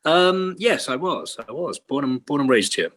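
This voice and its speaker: A man's voice: fairly high pitch, big pitch swings, normal speed, a bit bright. A middle-aged man from Wales with a light Welsh accent, a chatty, self-deprecating actor who laughs often, talking remotely from his bedroom at home. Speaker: actor, guest. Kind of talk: casual interview chat.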